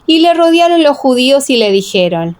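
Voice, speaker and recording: Echoing, high-voiced woman narrating scripture. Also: sing-song, normal speed. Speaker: audiobook narrator. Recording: echoing, long reverb.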